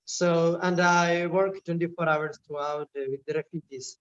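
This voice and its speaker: A man's voice: on the high side, steady tone, moderate pace, highs cut off, crowd noise. A man, chatting casually and hesitantly with lots of fillers and restarts. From Kerala, India. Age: 20s. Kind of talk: casual chat.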